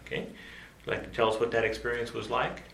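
A man's voice: medium pitch, little pitch movement, a little fast, creaky, fairly bright tone. A man interviewing a veteran for an oral history project, asking brief, plain questions. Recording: roomy echo, faint ambience.

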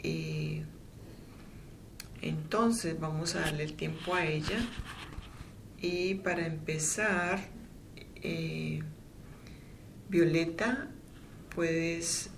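A woman interviewing a friend for an oral history, gentle and hesitant with many fillers. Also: deep voice, fairly even intonation, drawn-out words, vocal fry, long pauses, slight background noise.

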